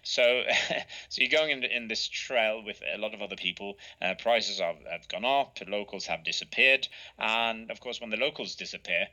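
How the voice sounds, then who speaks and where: A man talks through his travel habits in a relaxed interview. His voice is lowish and very bright, and he stops and restarts often, filling gaps with little sounds. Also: low-quality recording. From Norway. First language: Norwegian. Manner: halting, many restarts and fillers. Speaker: guest, travel author. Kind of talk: conversational interview.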